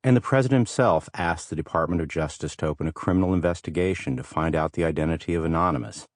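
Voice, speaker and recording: A man reading scripted audiobook narration in a measured, articulate way, close to a studio microphone. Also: low-pitched, no echo.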